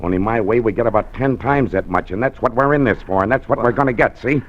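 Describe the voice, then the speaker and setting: An actor playing a greedy American gang boss, slangy and needling, in 1940s radio crime drama style. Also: male voice, mid-range voice, quick pace, natural voice. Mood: needling, skeptical. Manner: slangy, needling tough-guy talk. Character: hard, menacing, greedy male gang boss. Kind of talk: 1940s radio gangster acting. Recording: muffled old radio recording.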